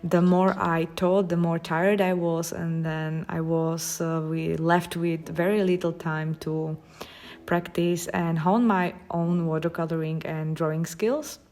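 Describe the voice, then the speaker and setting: Slow, low-voiced Slovak woman. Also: fairly even intonation, darkish tone. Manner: halting, thoughtful, with frequent pauses. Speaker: watercolor artist and vlogger. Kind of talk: casual talk while painting.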